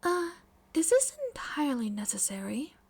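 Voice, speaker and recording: A shy teenage girl, acted by a woman, proper and earnest, in a fan-made audio story. Her voice is high and soft, breathy at times, and she speaks in a hesitant, flustered way. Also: very animated, moderate pace, heavily acted. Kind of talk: fan fiction audio drama.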